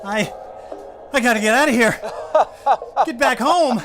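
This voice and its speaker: A man's voice: very high voice, a little slow. An actor playing a stressed married insurance salesman who is coming apart in terror, in an audio drama. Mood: panicked. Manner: jittery, muttering to himself, exclaiming.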